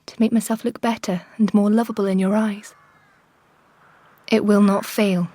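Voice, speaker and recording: An actress playing an unhappy, jealous Victorian wife in a radio drama, giving an intimate, sorrowful and restrained confession. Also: female voice, mid-range voice, flat delivery, moderate pace, warm tone, no echo, close to an everyday voice. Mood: sorrowful, resigned. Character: unhappy, jealous Victorian wife voicing her farewell letter.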